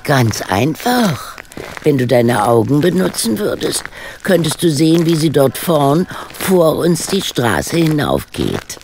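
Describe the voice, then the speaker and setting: A woman's voice: low-pitched, sing-song, slow pace, darkish tone, a little hiss or hum, heavily acted. An actress in a radio drama playing a level-headed woman sleuth, dry, wry and decisive. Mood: dry, teasing.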